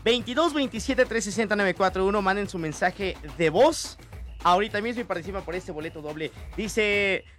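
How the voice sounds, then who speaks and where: A man's voice: sits high, moderate pace, fairly bright tone, faint music behind. A man with a Mexican accent co-hosting a morning radio show, joking and reading listener messages aloud. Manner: playful, laughing often, reads listener messages. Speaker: radio host.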